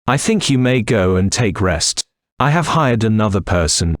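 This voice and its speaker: A man's voice: fairly low pitch, sing-song, moderate pace, no room sound, clean studio sound. A man playing a stern, impatient factory manager who scolds firmly in a cartoon moral story.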